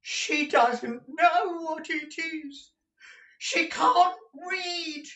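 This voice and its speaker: Storybook miser, old man: very high, shrill, panicked wail; echoey room. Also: extremely slow, bright, forceful tone, heavily acted. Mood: frantic despair. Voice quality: shrill, wailing. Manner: panicked, repetitive lamenting. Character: shrieking, frantic old male miser and usurer. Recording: roomy echo, distant mic.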